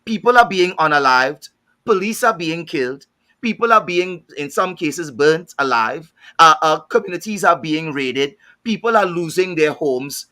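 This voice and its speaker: A man's voice: on the high side, animated, unhurried, bright, forceful tone. A man with a Trinidadian accent speaking on a panel discussion, thoughtful, often repeating words while he searches for the next thought. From Trinidad and Tobago. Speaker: panel guest.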